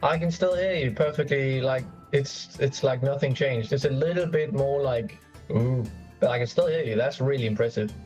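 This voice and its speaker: A man chatting enthusiastically over a voice call from his home, quick to laugh and full of fillers like "like". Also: medium pitch, a little mellow, lo-fi sound, soft background music. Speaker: co-host on a voice call. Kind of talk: casual chat over voice call.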